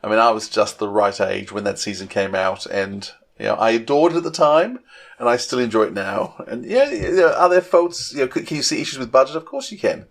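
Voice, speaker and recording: A man's voice: medium pitch, a little fast, gravelly. A middle-aged man co-hosting a podcast in casual fan chat, opinionated and often hesitating as he thinks aloud. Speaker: podcast co-host.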